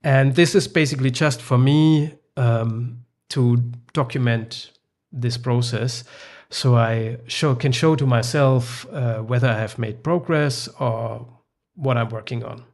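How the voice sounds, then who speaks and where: A man whose first language is German, walking through his early app in a relaxed, thinking-aloud way with frequent fillers. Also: medium pitch, measured pace. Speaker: software developer. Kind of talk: screen-recorded app demo.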